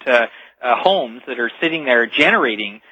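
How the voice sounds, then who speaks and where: A man's voice: medium pitch, lively intonation, normal speed, breathy edge, dry recording. A man speaking as an expert guest in a radio interview, explaining things emphatically and step by step. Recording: dull lo-fi radio sound.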